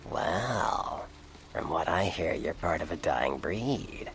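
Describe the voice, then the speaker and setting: An actor voicing a cynical, sly Nosferatu vampire informant in a video game, with a gravelly American voice and a dry, sardonic manner. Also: male voice, extremely low pitch, expressive, slow, a bit bright, faint music behind, full character voice. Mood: matter-of-fact. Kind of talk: video game character dialogue.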